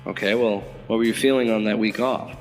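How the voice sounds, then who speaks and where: Casting: an actor as a laid-back agent asking questions, reverberant room, music bed. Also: male voice, fairly low pitch, steady tone, brisk, close to an everyday voice. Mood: curious. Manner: curious, questioning, casual. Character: Agent Fisher, male intelligence agent interrogator.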